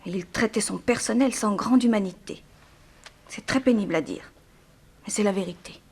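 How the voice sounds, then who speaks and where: Young female: mid-pitched, earnest, plaintive orphaned daughter, restrained sorrow, old radio drama style. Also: normal speed, darkish tone, husky. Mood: bitter, sorrowful. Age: young adult. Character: sorrowful young daughter of a harsh plantation owner. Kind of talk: 1960s French radio drama acting.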